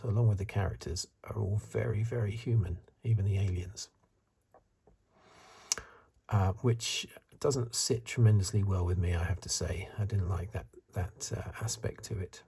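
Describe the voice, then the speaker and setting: A man giving soft-spoken, partly whispered ASMR review commentary in a breathy, hesitant, musing way. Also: sits low. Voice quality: breathy, hushed. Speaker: host of a film review video.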